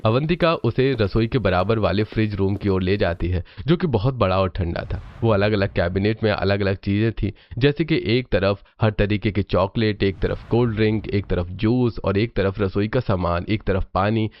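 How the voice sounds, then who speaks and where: A man's voice: fairly low pitch, moderate pace, few pauses, highs cut off. A man narrating a scripted family cartoon story.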